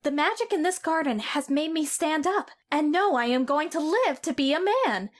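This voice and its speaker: An imperious, excitable young boy in an audio drama, played by a woman with a high, very lively voice. He declaims each thought earnestly and swings widely between high and low notes.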